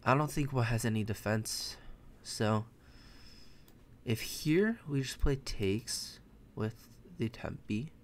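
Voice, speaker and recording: Young American chess streamer with Mandarin as his first language; relaxed live commentary, talks through moves and chats with viewers. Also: medium pitch, slow, slight background noise. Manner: thinks aloud, chatty, self-correcting. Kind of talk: casual live commentary while playing. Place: bedroom at home, indoors.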